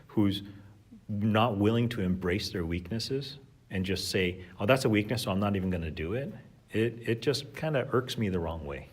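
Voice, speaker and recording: Low husky-voiced man, earnest teacher. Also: expressive, moderate pace. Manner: earnest, thoughtful, with fillers and restarts. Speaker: teacher and guest. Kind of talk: reflective conversational talk.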